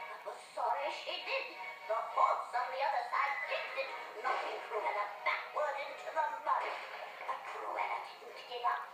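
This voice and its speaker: High, animated woman storyteller in deep echo. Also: distant mic. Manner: dramatic, expressive storytelling. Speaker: storybook narrator. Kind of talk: scripted children's read-along narration.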